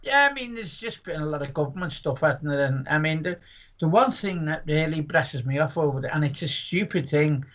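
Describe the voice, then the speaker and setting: A man's voice: fairly high pitch, lively intonation, a little fast, a bit bright, dull audio. A man, a comedian rambling jokily through a casual interview chat. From Merseyside, England. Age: 60s. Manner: rambling, jokey, full of asides and restarts. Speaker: comedian guest.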